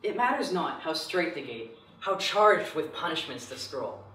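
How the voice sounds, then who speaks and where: A man reciting poetry in a measured, declamatory way. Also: a little high, sing-song, sharp tone. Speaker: poetry reader. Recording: echoey room, distant mic.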